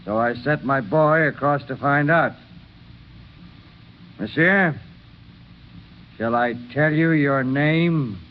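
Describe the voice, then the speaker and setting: A suspicious, unyielding innkeeper. He speaks very slowly and deliberately, a little high in pitch. The vintage radio drama sound is dull, with faint noise. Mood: stern, accusing. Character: cold, suspicious French village innkeeper turning away guests. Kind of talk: 1930s radio drama acting. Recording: muffled, noisy vintage radio recording.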